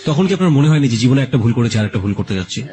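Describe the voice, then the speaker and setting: A man's voice: medium pitch, animated, racing, dark tone, faint music behind. A man with a Bangladeshi accent hosting a radio interview, asking probing questions and slipping in English phrases. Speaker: radio show host.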